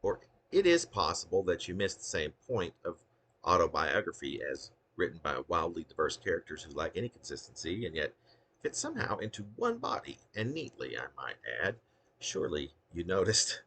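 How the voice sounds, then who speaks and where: A man's voice: sits low, fairly bright tone. A man giving a dramatic, wry reading of a humorous autobiographical poem, with theatrical emphasis. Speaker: poet reading own work.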